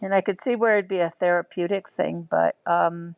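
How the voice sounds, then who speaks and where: A woman's voice: on the low side, fairly even intonation, moderate pace, vocal fry, no room sound, lo-fi sound. A middle-aged woman sharing thoughtful, hesitant reflections on friendship, with frequent ums. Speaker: listener commenting. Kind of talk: reflective personal commentary.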